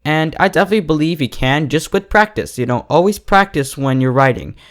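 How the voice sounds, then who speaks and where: A man's voice: a little high, lively intonation, fairly quick. A man speaking as the host of an online fiction review channel, giving a scripted critique straight to his viewers.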